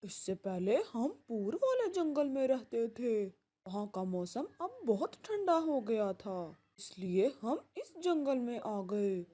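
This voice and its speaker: Woman as a slow-talking scheming pigeon swindler. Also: a little high, sing-song, dark tone, pitched below the performer's own voice, full character voice. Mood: casual.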